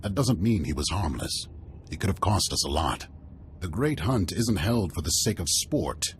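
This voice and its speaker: Hearty warlord leader, extremely low voice, animated, authoritative, video game, faint music. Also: male voice, moderate pace, slight background noise. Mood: blunt, approving. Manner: blunt, authoritative. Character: gruff, commanding male warlord who respects fighters, hearty and blunt.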